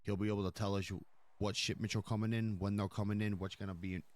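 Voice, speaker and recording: A man's voice: lowish voice, little pitch movement, fast, darkish tone. A man improvising a mafia boss in a role-play, talking conversationally in a profane, rambling, explanatory way. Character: mafia boss, profane, laying down the crew's hierarchy. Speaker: role-play streamer playing a crime boss.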